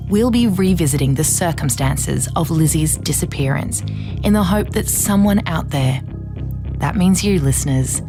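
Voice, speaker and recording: True crime podcast woman, really low voice, earnest, measured, music underneath. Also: lively intonation. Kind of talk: scripted podcast narration.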